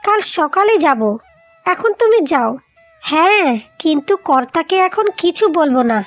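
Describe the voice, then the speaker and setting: A woman voicing an earnest, practical wife in scripted cartoon dialogue, speaking deliberately. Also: very high voice, animated, faint music behind. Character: determined, practical young Bengali wives plotting to save home.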